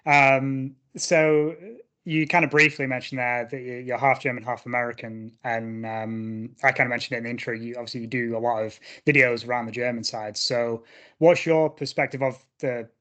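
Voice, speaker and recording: A man hosting a casual podcast, asking friendly questions and giving quick acknowledgements. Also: mid-range voice, steady tone, bright, forceful tone. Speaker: podcast host.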